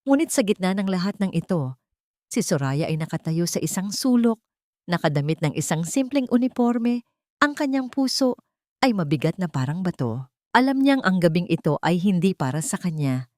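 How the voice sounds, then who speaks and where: A woman narrator with a slightly low voice tells a scripted melodrama. Her delivery is emotive and dramatic, and the sound is dry and close. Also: big pitch swings, moderate pace, warm tone, dry recording.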